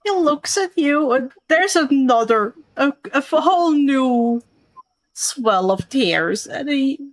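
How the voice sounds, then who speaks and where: A high-pitched woman's voice, slow and measured, in a dry recording. She narrates a tabletop game as the game master, describing each scene. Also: expressive, dry recording, low-quality recording.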